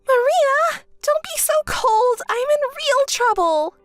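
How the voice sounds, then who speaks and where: A woman doing a shrill, whiny spoiled sister. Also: animated, slow pace, fairly bright tone, faint music behind, heavily acted. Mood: pleading. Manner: shrill, begging, self-pitying. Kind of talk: storytelling with acted dialogue.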